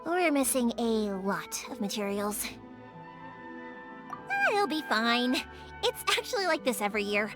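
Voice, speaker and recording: An actress playing a bubbly, talkative young woman who makes fireworks, in an animated video game style, rambling eagerly and catching herself. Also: on the high side, a little slow, pauses often. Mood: friendly, sincere. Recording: background music behind studio voice.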